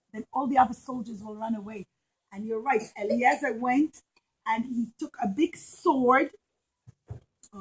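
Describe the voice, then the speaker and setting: A woman telling a story to young children in an animated, emphatic way, repeating phrases for them. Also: sits high, slightly rough, rough recording. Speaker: children's storyteller and puppet show presenter.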